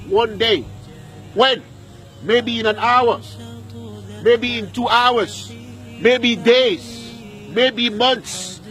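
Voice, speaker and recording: A man's voice: very high voice, a bit bright, rough recording. A man preaching a sermon at a graveyard, repeating lines and putting questions to his listeners, with Arabic verses in between. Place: outdoor graveyard.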